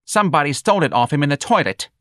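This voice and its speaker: A man's voice: on the high side, lively intonation, rapid-fire, a bit bright, no room sound, studio quality, pitched above the performer's own voice. A man voicing a weepy, exasperated working-class Southern mother in an audiobook, scolding plaintively in ungrammatical speech. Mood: aggrieved. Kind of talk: audiobook character dialogue.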